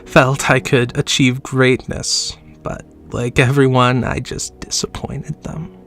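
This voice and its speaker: A man's voice: medium pitch, fairly even intonation, slow pace, vocal fry. An actor playing a sickly, dying man, bitter and self-loathing, who laughs wryly and drops to whispers, in a horror audio drama. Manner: wry, mocking laughs, sinking to whispers. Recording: soft music underneath.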